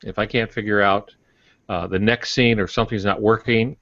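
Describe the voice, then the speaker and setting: A man's voice: lowish voice, fairly even intonation, normal speed, a bit bright, dry recording. An older man co-hosting a podcast, giving casual advice in a wry, folksy way. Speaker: podcast co-host. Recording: dull, dry lo-fi audio.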